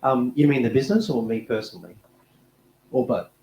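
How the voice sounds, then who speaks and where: A man speaking as a panelist in a relaxed way, often restarting and repeating his words. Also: medium pitch, fairly quick. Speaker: panelist, technology executive. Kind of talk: panel discussion. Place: conference panel room. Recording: echoey room, faint background noise.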